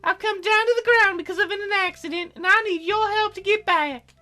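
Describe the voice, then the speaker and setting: A man voicing a bossy, bratty young fairy girl in a squeaky falsetto for a visual novel game. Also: brisk, pushed and bright, exaggerated voice. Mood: proud, insistent. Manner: petulant, demanding.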